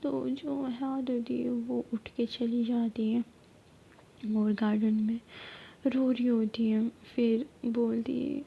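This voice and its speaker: A woman retelling a story in a soft, steady whisper. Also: a little high, gravelly, husky, phone-quality sound.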